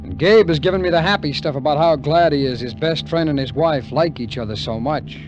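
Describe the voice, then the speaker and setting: An actor playing a weary, hard-boiled American adventurer in a 1950s radio drama, telling the story in terse, wry phrases. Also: male voice, a little high, a little fast, natural voice. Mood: brooding, tense. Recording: muffled vintage radio recording with music.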